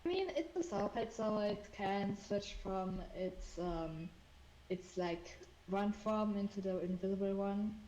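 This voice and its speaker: A woman with a loud voice chats casually as a fellow roleplay player, stumbling and restarting her sentences. Her voice comes over voice chat from an echoey room at a middle pitch, with a faint hiss behind it. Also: a little slow.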